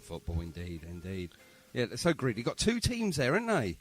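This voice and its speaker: A man's voice: mid-range voice, huge pitch swings, brisk, soft background music. A man with a London accent hosting a casual podcast interview, bantering and rambling as he interjects. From London, England. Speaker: podcast host. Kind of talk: casual jokey interview chat.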